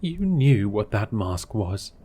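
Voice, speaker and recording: A man voicing an indignant heroine in a story, arguing heatedly in his own soft, mellow voice. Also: on the low side, animated, measured pace, a little hiss or hum. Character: angry young heroine arguing.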